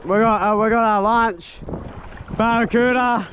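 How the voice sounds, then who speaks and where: A man's voice: high voice, unhurried, lo-fi sound. A young man filming himself fishing from a tropical beach, exclaiming and laughing as fish bite, recorded on a head-mounted action camera. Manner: excitable, exclaiming, laughing often. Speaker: fishing vlogger. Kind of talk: excited fishing commentary. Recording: wind noise on outdoor camera mic.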